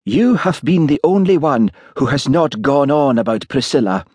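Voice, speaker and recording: A man voicing a gloomy, huffy village policeman with a sibilant Scottish Highland accent in an audiobook. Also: expressive, moderate pace, a little mellow, slightly rough, no room sound. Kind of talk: audiobook character voice.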